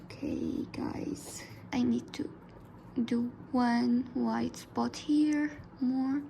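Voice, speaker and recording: A woman whose first language is Arabic, narrating a drawing tutorial softly, often dropping into a whisper. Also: fairly high pitch, wildly swooping pitch, very slow, gravelly, slight background noise, poor audio. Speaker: art tutor.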